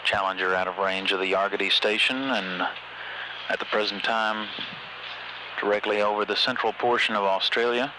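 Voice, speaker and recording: A man's voice: fairly low pitch, fairly even intonation, normal speed. A man giving formal, matter-of-fact status commentary as a mission control announcer. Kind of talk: measured spaceflight status commentary. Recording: noisy archival space radio broadcast.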